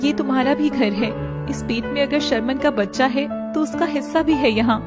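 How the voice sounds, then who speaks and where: A woman's voice: high voice, normal speed, close to an everyday voice. A woman voicing a long-suffering, resolute young wife in a dramatic story. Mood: resigned consoling. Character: wronged young village wife, expectant, bitter yet long-suffering. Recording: music playing behind the voice.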